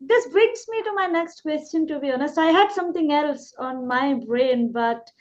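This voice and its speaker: A woman's voice: sits high, expressive, normal speed. A woman from India with an Indian English accent, hosting and asking long, eager, winding questions. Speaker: host, English trainer. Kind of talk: interview questions. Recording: dull, lo-fi line.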